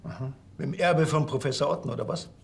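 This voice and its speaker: An actor playing a gruff, sarcastic Bavarian police officer in a TV crime comedy, blunt and dry. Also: male voice, on the high side, quick pace, a little mellow, soft background music. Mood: accusing.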